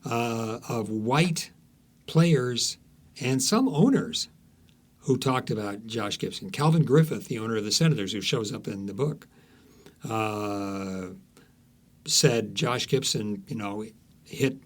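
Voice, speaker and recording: A man speaking as an author guest in an interview, telling stories in a halting, emphatic way that repeats phrases. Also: medium pitch, expressive. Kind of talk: conversational storytelling interview.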